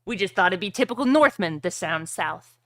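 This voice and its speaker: A man voicing a defeated, bitter ship captain who answers haltingly in old-time seafarer phrasing. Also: high-pitched, expressive, fairly bright tone, dry recording. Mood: bitter, defiant.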